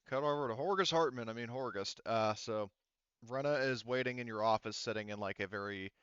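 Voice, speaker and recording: A man casually running a tabletop roleplaying game as game master, often thinking out loud. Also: medium pitch, brisk, clear, resonant tone, no echo, muffled recording.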